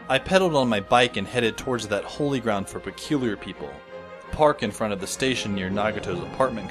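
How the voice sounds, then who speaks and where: An actor playing a dry, sardonic teenage schoolboy who narrates an audio drama in the first person. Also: male voice, fairly low pitch. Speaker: narrator and lead character. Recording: music under the voice.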